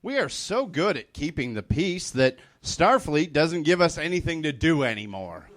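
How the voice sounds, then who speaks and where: A man giving a comedic dramatic reading of fan fiction with halting, over-formal mock gravity. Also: fairly high pitch, sing-song, a bit bright. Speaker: comedic reader on a stage show.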